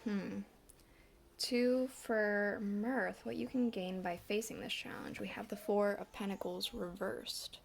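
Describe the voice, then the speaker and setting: A woman giving a casual tarot reading, still congested, with a slight cough and a bit winded, talking in a relaxed, chatty way with many fillers. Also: mid-range voice, slow, creaky. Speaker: tarot reader.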